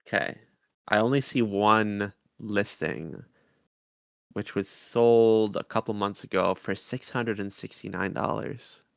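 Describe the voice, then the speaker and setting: Male podcast co-host, conversational, with noticeable vocal fry and a dry, close sound. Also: on the low side, takes it slowly, no room sound. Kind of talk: casual review podcast chat.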